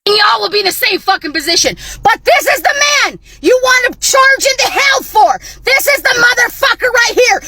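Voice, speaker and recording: Hoarse american mom yelling mad, super high piercing voice, dry close sound. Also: female voice, no room sound. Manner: furious profane shouting, voice cracking near tears. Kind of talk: angry political rant to camera.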